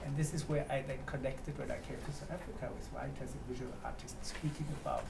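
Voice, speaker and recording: A man from Germany facilitating a writing workshop, talking informally and reflectively, haltingly posing questions to the group. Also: mid-range voice, fairly even intonation. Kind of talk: informal spoken introduction to a workshop. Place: echoey room at a live workshop. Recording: echoey room, distant mic, clear background noise.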